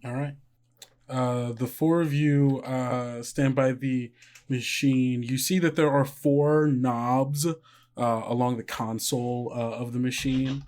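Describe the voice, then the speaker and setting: A man narrating a tabletop game as game master, casually and haltingly, feeling his way through descriptions. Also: mid-range voice, fairly even intonation, darkish tone. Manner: halting, filler-heavy scene description.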